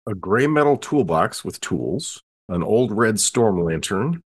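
A man's voice: on the low side, sing-song, unhurried, slightly rough, no room sound. A man playing a tabletop game, bantering casually in a wry, joking way.

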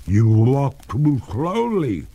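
A man voicing a haughty female water ghost in a storybook, with formal, melodramatic, drawn-out speech. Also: mid-range voice, huge pitch swings, dark tone, husky, pitched above the performer's own voice, heavily acted. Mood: reluctant, suffering.

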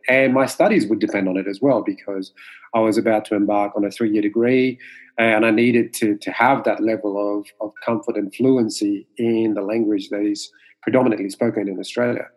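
A man in his forties from Mauritius, whose first language is Creole, giving thoughtful interview answers with many pauses and fillers. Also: lowish voice, a little mellow, poor audio. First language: French Creole. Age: 40s. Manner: measured, with many fillers and restarts. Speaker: martial arts instructor, guest.

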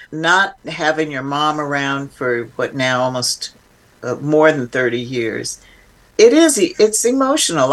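An older woman speaking as an interview guest, reflective and conversational, thoughtful and emphatic with halting restarts. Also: sits low, a bit bright.

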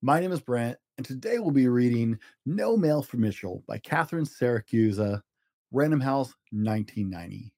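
A man hosting a children's show, reading a storybook aloud in simple, expressive language for young listeners. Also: mid-range voice, moderate pace, dry recording, clean studio sound.

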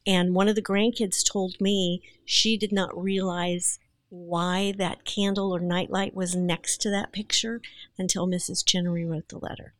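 Lower-pitched woman chatting on a podcast. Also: flat delivery, unhurried, no echo. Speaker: actress guest. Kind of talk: casual storytelling in podcast chat.

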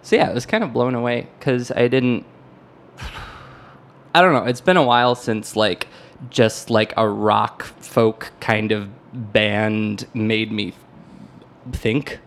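A man's voice: lowish voice, slow, a little hiss or hum. Rambling American man, casual and hesitant. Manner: rambling, hesitant, self-interrupting. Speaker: podcast host. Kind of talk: casual chat about music.

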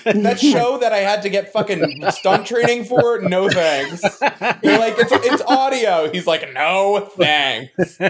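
A man's voice: high voice, animated, slow. A man co-hosting a podcast, chatting casually in an irreverent, joking, sweary way. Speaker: podcast host.

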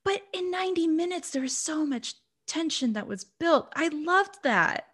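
A woman's voice: high-pitched, expressive, normal speed, fairly bright tone. A woman from Brazil, a podcast co-host, chatting about a horror movie with lots of fillers and laughter. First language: Portuguese. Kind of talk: casual movie review chat.

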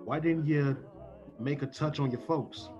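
A man's voice: on the high side, a little slow, a little mellow, close to an everyday voice. Guy doing old radio tough mug, slangy streetwise talk, kinda muffled, bit of music behind. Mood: skeptical. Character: tough, suspicious streetwise man with a soft streak. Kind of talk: old-time radio drama acting. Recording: dull sound with music.

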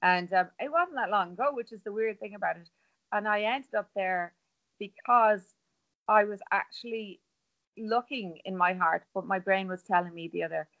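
A woman's voice: mid-range voice, moderate pace, lo-fi sound. A middle-aged Irish woman talking earnestly and rambling as a guest on a remote podcast interview. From Ireland. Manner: chatty, rambling, earnest storytelling.

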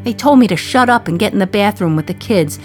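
A woman's voice: mid-range voice, lively intonation, fast talker, natural voice. Defiant, wry New mother played by an actress, music behind. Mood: tense, pained. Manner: wry, emphatic, confiding storytelling. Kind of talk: dramatic radio play monologue.

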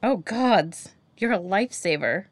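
A woman's voice: mid-range voice, lively intonation, unhurried, soft background music. A woman playing a friendly, eager young adventurer with a casual, upbeat manner in a fantasy audio drama. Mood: friendly, relieved.

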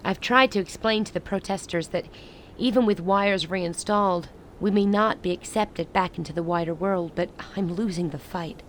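An actress playing a grave, resolute woman who leads a besieged rebel city in a radio play, speaking in measured, formal lines. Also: on the low side, slight background noise, close to an everyday voice, rough recording. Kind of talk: dramatic radio play dialogue.

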